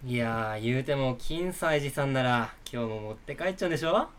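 A man playing a flattering hype-man sidekick in a comedy radio drama, with showy, announcer-like delivery. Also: medium pitch, a little fast, smooth, close to an everyday voice. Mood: fawning.

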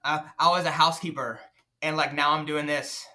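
A man with a fairly high, bright voice, talking as a podcast guest. He stutters and fills his speech with many fillers and restarts. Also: little pitch movement, fairly quick. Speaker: podcast guest, nonprofit founder. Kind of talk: casual interview talk.

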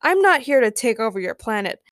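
A woman's voice: on the high side, big pitch swings, fairly quick, fairly bright tone, no echo. A woman voicing a laid-back male space traveler in a children's story, casual, dry and mildly exasperated. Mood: patient, exasperated.

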